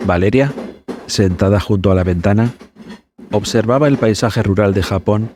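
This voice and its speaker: A man hosting a podcast, narrating a scripted story in a measured, suspenseful manner. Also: on the low side, darkish tone, a little hiss or hum.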